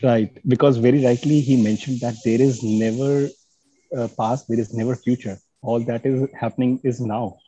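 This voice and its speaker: A man's voice: mid-range voice, fairly even intonation, moderate pace, warm tone, breathy edge, highs cut off. A man, asking questions hesitantly with fillers over a video call. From India. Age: 30s.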